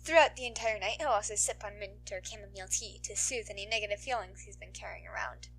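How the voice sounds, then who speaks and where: A woman reading fan fiction aloud as an amateur narrator, in an expressive storytelling style. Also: medium pitch, quick pace, a little hiss or hum.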